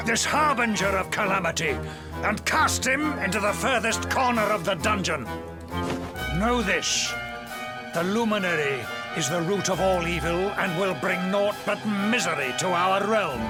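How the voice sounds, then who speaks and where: An actor playing a haughty, smoothly menacing older king in a video game cutscene, speaking in a formal, theatrical manner. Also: high voice. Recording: loud music behind the voice.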